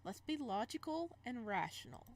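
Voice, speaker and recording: A woman reading a visual novel aloud and commenting casually as she plays, expressive and reacting out loud, sometimes stumbling over the text. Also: on the high side, normal speed. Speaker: game streamer, let's player.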